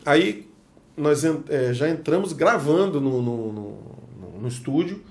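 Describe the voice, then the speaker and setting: A chef from Espírito Santo with a Brazilian Portuguese accent from that state, telling a story as a podcast guest and acting out the dialogue. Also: male voice, a little high, unhurried. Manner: lively storyteller who acts out the dialogue. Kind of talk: casual anecdote telling.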